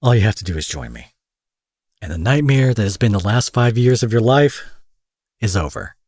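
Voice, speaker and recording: A man playing a protective, possessive supervillain in an intimate audio roleplay, husky and theatrical, moving between forceful speech and breathy murmurs. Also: lowish voice, lively intonation, moderate pace, no echo, clean studio sound. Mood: tender, devoted. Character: smooth protective male supervillain courting his captive rival.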